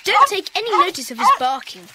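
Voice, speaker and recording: A woman's voice: very high voice, sing-song, heavy background noise. A woman playing a confident, kindly British schoolboy who leads a club in a children's audio drama. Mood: confident, encouraging. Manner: brisk, encouraging, in charge.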